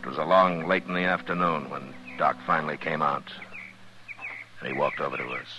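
An actor playing a stern, laconic frontier marshal in a radio western, gruff and plain-spoken. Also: male voice, very deep voice, fairly even intonation, a little fast, background noise. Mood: grave, restrained. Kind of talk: 1950s radio western acting and narration. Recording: muffled old radio recording with music.

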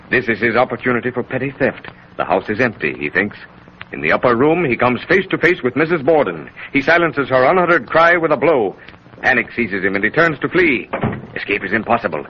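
Solemn male narrator, muffled old radio. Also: medium pitch. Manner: solemn, oratorical, emphatic. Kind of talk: 1930s dramatic radio narration, scripted. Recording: muffled, hissy 1930s radio recording.

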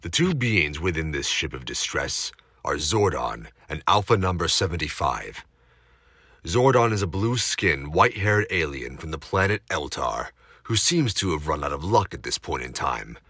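A male narrator with an extremely low voice that still has a bright, forceful edge. He reads a scripted audio drama dramatically, telling the story with suspense. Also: breathy edge, dry recording.

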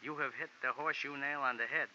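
Forceful, wordy little racetrack character. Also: male voice, mid-range voice, steady tone, fast talker. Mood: earnest, emphatic. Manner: stilted formal diction without contractions, slangy flourishes. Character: eccentric horse-loving racetrack character, little man, wordy and formal. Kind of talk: 1940s radio acting. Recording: dull, noisy 1940s radio recording.

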